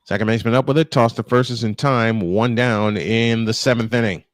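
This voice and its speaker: A man's voice: mid-range voice, fairly even intonation, a little fast, no room sound, studio quality. A man calling baseball play-by-play live, mixing it with casual chat in a folksy, exclamatory way.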